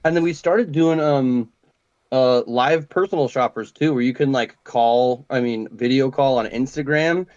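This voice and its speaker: An easygoing man in his mid-thirties tells stories in a modest, relaxed way. His voice has a middle pitch and sounds a little dull and muffled. The room is dry, with no echo. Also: moderate pace. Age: 30s. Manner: modest, relaxed storyteller, says like and dude. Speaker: guest. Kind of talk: casual interview chat.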